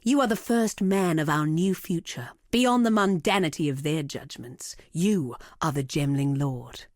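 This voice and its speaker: An imperious villainess and cold-blooded researcher for a video game. Her voice sits on the low side, with a deliberate, commanding haughtiness. The recording is dry, free of room sound. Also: animated, no room sound. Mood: seductive, intimate. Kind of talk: scripted video game voice acting.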